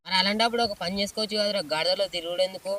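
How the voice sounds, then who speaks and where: A man's voice: high-pitched, fairly even intonation, very fast, recorded from a distance, a loud crowd, natural voice. A man with a Telangana Telugu accent playing a blunt, practical village friend in a comedy skit.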